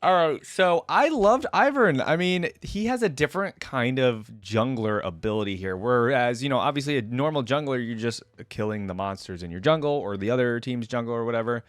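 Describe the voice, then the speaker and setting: A man's voice: mid-range voice, animated, a little fast, hardly any pauses, dry recording, clean studio sound. A man giving casual, informal reaction commentary, musing aloud as he goes. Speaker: reaction video host. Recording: music bed behind the voice. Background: game music.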